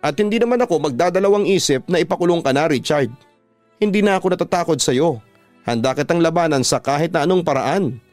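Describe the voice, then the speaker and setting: A man's voice: on the high side, fast talker, darkish tone, no room sound, pitched above the performer's own voice, lightly acted. Radio drama male narrator as indignant young Filipino woman, defiant, emphatic, dry sound, music bed. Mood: angry, defiant. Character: defiant, wounded young woman confronting her violent ex.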